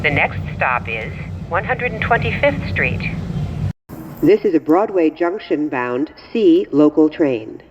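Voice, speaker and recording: Low-pitched woman announcer on a noisy train. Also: big pitch swings. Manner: measured, clearly segmented phrasing. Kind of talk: scripted automated transit announcements. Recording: muffled, noisy recording inside subway train. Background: train rumble and ambience.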